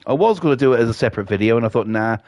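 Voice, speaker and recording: A man's voice: medium pitch, expressive, racing, dry recording, dull audio. A man with a cold, chatting casually and swearing while streaming a game, with lots of fillers. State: has a cold.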